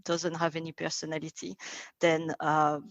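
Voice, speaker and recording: A woman's voice: deep voice, completely flat delivery, normal speed, dry recording, highs cut off. A woman, a branding consultant raised in France, speaking as an interview guest and using many fillers. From South Korea, raised in France. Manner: thoughtful, frequent fillers, laughs easily.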